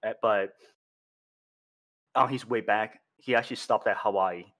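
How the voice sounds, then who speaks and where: A man's voice: fairly low pitch, a little slow, fairly bright tone. A man from China, a podcast guest, telling a story in a rambling way with many fillers and restarts. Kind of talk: casual storytelling chat.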